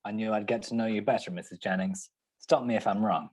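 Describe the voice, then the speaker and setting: An actor playing a hard-boiled, wry, unflappable private detective in an old-time radio drama, talking in terse, sardonic lines. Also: male voice, lowish voice, fairly even intonation, quick pace, darkish tone, lightly acted. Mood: accusing, cool. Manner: sardonic, terse tough-guy talk.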